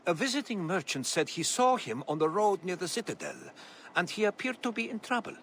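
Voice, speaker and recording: An actor playing an earnest, eager magistrate of an ancient city in a video game. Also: male voice, sits high, big pitch swings, a little fast, fairly bright tone, a little hiss or hum. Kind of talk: video game cutscene acting.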